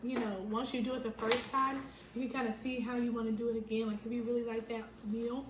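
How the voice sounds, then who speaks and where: Relaxed young woman from California. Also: on the high side, quick pace. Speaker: mukbang co-host. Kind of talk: casual chat while eating. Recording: echoey room with clear noise.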